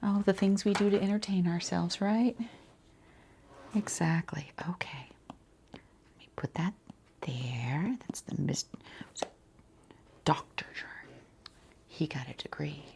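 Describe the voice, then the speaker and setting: A woman quietly playing a skincare consultant in an ASMR roleplay, chatting casually and reassuringly in a soft, breathy voice that often slips toward a whisper. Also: fairly low pitch, takes it slowly, long pauses, slight background noise. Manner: casual, rambling, reassuring the client.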